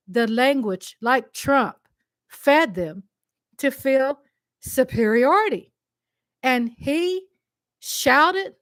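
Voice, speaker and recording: A Texan woman speaks to her viewers with passion and emphasis, and she repeats phrases to drive them home. Her voice sits a little high and she talks slowly and deliberately. The sound is dry and close, with no room echo. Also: fairly bright tone. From Texas. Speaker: vlogger answering a commenter. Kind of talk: impassioned direct-to-camera monologue.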